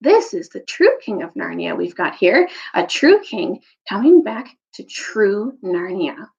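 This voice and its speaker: A woman's voice: medium pitch, very animated, a little slow, creaky, dull audio. A woman voicing a loyal, earnest old storybook badger who speaks emphatically and insistently.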